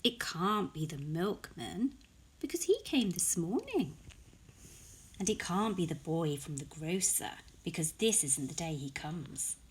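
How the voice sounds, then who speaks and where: A woman's voice: fairly low pitch, big pitch swings, measured pace, slightly rough, exaggerated voice. A woman voicing a kindly, hospitable mother from a children's storybook in a polite, sing-song manner. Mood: wondering. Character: Sophie's mummy, kindly hospitable young mother.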